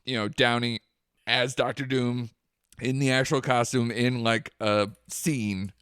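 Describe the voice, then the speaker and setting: A man's voice: medium pitch, fairly even intonation, measured pace, fairly bright tone, smooth, no echo, studio quality. A man co-hosting a podcast, talking loosely in casual chat, swearing now and then and trailing off. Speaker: podcast co-host.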